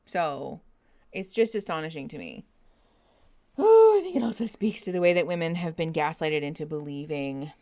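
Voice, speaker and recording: A woman streaming a casual reaction to a TV show, chatty and opinionated, with many fillers. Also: low voice. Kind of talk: casual live reaction chat. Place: home room, at a standing desk.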